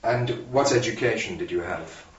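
A man's voice: fairly low pitch, lively intonation, normal speed, a little hiss or hum. A man asking brief, polite questions in an oral history interview. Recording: distant roomy voice on dull old tape.

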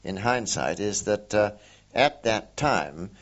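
An older man with a very deep voice recalls his wartime service in a slow, halting way, filling gaps with ums and uhs. The recording sounds dull and lo-fi. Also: lively intonation. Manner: hesitant, many ums and uhs, measured. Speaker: war veteran interviewee. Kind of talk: oral history recollection.

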